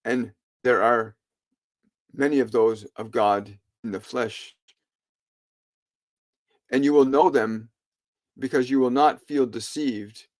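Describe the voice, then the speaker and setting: A man with a mid-pitched voice gives a solemn spiritual monologue. He speaks very deliberately and slowly, in short phrases broken by frequent pauses. His phrasing is formal and archaic, in the manner of an orator delivering a sermon. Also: steady tone. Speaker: spiritual channeler delivering a message.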